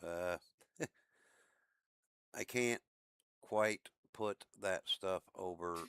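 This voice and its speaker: Slow, low-voiced male game streamer. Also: a bit bright, clean tone. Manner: laid-back, chatty asides to viewers. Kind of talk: casual live-stream chat.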